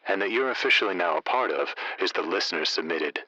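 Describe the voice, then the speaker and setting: An actor playing a laid-back, unflappable night-shift radio DJ with easygoing, poetic phrasing in an audio drama. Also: male voice, sits low, expressive, fast, pushed and bright, dry recording, exaggerated voice, low-quality recording. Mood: laid-back, teasing. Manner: chill, easygoing, florid phrasing.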